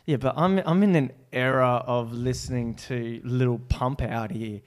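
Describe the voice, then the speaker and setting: A man from Australia hosting a podcast, talking in a relaxed, halting way with plenty of restarts. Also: medium pitch. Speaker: podcast host. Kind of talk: casual conversation.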